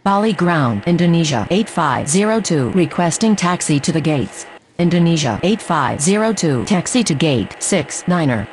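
Woman acting female air traffic radio voice, low for a woman, varied intonation, procedural, game dialogue. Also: expressive, normal speed, darkish tone. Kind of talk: scripted aviation radio phraseology.

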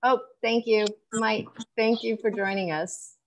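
A middle-aged woman hosts an online gathering from home on earbuds. Her voice is fairly high and her delivery is casual and conversational. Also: fairly even intonation, a little slow, slight background noise, poor audio. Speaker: host. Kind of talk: casual hosting. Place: room at home, on a video call. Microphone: earbuds on a video call.

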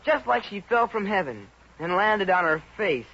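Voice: mid-range voice, sing-song, moderate pace, fairly bright tone, breathy edge, heavily acted. A performer playing an earnest, blunt, slangy American schoolboy in a 1950s radio comedy. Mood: anxious, blunt. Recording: dull, lo-fi 1950 radio recording.

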